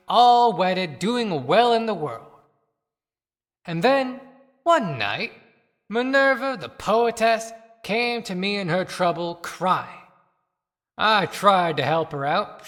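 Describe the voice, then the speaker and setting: A man's voice: high voice, fairly bright tone, heavily acted. A man playing a good-hearted but disgraced dead small-town doctor in a declamatory audio-play monologue. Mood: aggrieved. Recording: long cavernous reverb.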